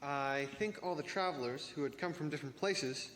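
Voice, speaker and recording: A man playing a bookish, measured know-it-all youth in a church reader's theater. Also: fairly high pitch, a bit bright, close to an everyday voice. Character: bookish youth, a self-declared trivia champion. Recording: noisy reverberant hall.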